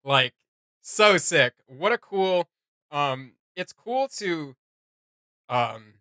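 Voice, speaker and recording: A man chats casually as a reaction host. His voice is bright and a little high, recorded dry and close. He rambles excitedly in loose slang. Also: very slow, clear, resonant tone, no room sound.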